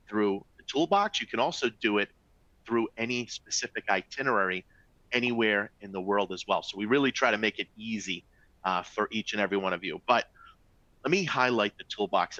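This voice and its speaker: A man's voice: fairly low pitch, pushed and bright, no echo, highs cut off. A middle-aged man with a Boston accent presenting a training webinar, chatty and upbeat, often checking in with "all right?" and "folks". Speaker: travel company executive presenting a webinar.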